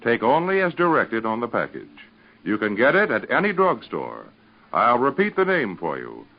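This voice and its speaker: An American man reading scripted narration and advertising as an old-time radio serial announcer, with emphatic, deliberate enunciation. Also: medium pitch, huge pitch swings. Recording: dull, band-limited vintage radio recording.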